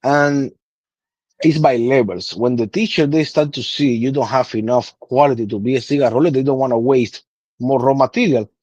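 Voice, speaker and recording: A man's voice: medium pitch, normal speed, darkish tone, no room sound, highs cut off. A man in his thirties from Cuba, with a Cuban Spanish accent and Spanish as his first language, explaining patiently in broken English in a casual interview. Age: 30s. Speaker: guest cigar blender.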